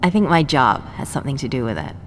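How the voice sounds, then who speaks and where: A woman's voice: very deep voice, big pitch swings, fast talker, long reverb tail, slight background noise, full character voice. A woman playing a poised, ambitious researcher in a video game, polite, composed and sure of herself. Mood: self-assured. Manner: polite, composed, self-certain.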